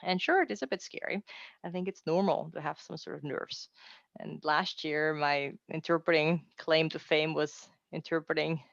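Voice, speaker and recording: An upbeat woman in her forties with an Austrian accent gives an encouraging, chatty presentation. Her voice has a gravelly, creaky edge. The sound is dry and dull, like a lo-fi computer mic on a video call. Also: sits low, normal speed, no room sound. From Austria, raised in Mexico City. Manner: upbeat, chatty, self-deprecating asides. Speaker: translator giving a webinar talk.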